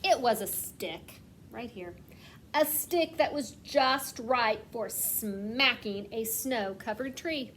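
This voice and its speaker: A woman reading a picture book aloud like a kindergarten teacher, stressing words expressively and putting questions to the children. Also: a little high. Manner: asks questions and pauses as if children answer; expressive storytelling with slowed, emphasised words. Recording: clear background noise.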